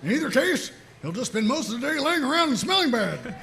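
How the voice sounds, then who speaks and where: A gruff, callous older cartoon sergeant, speaks in a folksy drawl. The voice is gravelly, high and forceful, over faint noise. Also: male voice, big pitch swings, a little fast, heavily acted. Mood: blunt, unconcerned. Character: gruff older male military sergeant, cheerfully blunt and callous. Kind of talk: comedic table read of animated series.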